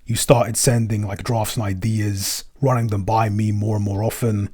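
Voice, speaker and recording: A man with a fairly low voice talks casually as a podcast producer and composer. He picks his way through sentences haltingly and plays himself down, in the loose style of a behind-the-scenes chat. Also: little pitch movement, warm tone, husky.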